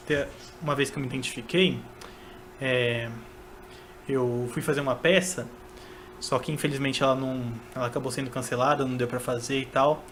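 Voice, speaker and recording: A Brazilian man hosting a casual podcast interview, speaking in a hesitant, halting way as he asks questions. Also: medium pitch, fairly bright tone, a little hiss or hum.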